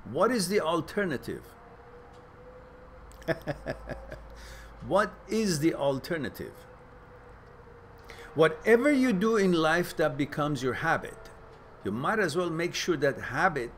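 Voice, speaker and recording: A man from Iran, a Farsi speaker, hosting a casual live chat and giving earnest, reflective advice with loose pauses and fillers. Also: a little high, very animated, fairly bright tone, a little hiss or hum. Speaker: host reading live chat questions.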